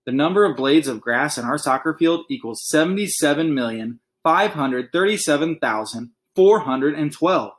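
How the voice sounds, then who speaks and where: Guy reading a kids chapter book out loud, expressive, chatty little asides. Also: on the high side, normal speed, hardly any pauses. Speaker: read-aloud reader. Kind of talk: storybook read-aloud with casual asides.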